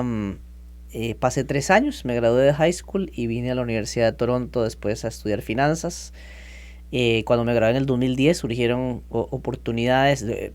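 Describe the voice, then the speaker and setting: A man in his thirties from Costa Rica, telling his story as a podcast guest in a lively, chatty way. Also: mid-range voice. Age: 30s. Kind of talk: casual interview talk.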